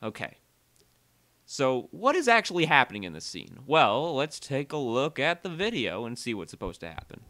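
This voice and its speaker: Man with lively, swinging pitch narrating a tutorial. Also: normal speed. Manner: conversational, self-interrupting, uneven energy, dwells on key words. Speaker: tutorial creator and presenter. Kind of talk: casual software tutorial voice-over.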